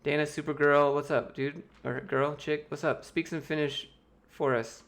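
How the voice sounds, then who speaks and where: A man's voice: fairly high pitch, level tone, normal speed, a bit bright. An American man hosting a livestream, reading viewer questions aloud and answering them in a loose, joking, offhand way. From United States. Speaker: YouTube host answering chat. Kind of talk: casual livestream Q&A.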